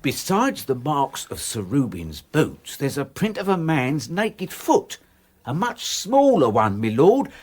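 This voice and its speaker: Radio drama: deferential English manservant, formal and exact, husky, very animated intonation. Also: male voice, fairly high pitch, slow pace, full character voice. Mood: formal, precise. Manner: formal, precise, respectful.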